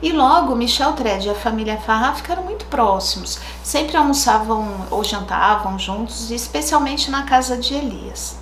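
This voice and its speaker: Woman, Brazilian Portuguese accent, slightly high voice, casual true crime host, echoey room. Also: lively intonation, moderate pace, hardly any pauses, slight background noise. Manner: chatty, thinks aloud with asides.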